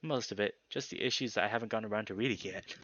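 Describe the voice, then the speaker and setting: A man's voice: fairly low pitch, fast, a bit bright, dry recording, lo-fi sound. A man voicing a young female animal character in a visual novel, teasing and caring, in a conversational way. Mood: playful.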